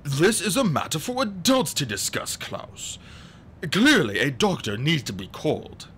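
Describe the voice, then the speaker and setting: A man voicing a stern, pompous gentleman who admonishes people fussily in an audiobook story. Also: a little high, very animated, measured pace, a little hiss or hum, voice pushed up. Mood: admonishing. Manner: pompous, admonishing, throat-clearing. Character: fussy, stern, pompous adult gentleman, easily offended.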